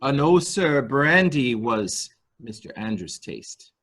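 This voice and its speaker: A man's voice: medium pitch, big pitch swings, slow pace, fairly bright tone, exaggerated voice. A man playing a deferential male nurse-attendant in a murder mystery reading, speaking formally, hesitantly and obsequiously. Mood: deferential, careful. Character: deferential male nurse-attendant to a rich invalid, primly servile.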